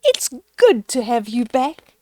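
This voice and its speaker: A woman voicing serious, sensible storybook penguins, with an exclaiming, drawn-out, expressive delivery. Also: on the high side, a little hiss or hum. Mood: fond. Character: serious, sensible penguins, scolding, calling and fretting.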